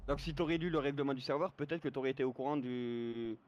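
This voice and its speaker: Guy talking fast in online rp chat, lecturing like a mod, keeps checking you get it. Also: mid-range voice, darkish tone, highs cut off. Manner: lecturing, repeatedly checks he is understood. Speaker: game server moderator. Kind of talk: argumentative explaining in online role-play chat.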